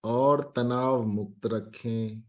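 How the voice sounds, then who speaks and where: A man guiding a meditation as an instructor, speaking calmly in short phrases with long pauses. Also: mid-range voice, lo-fi sound.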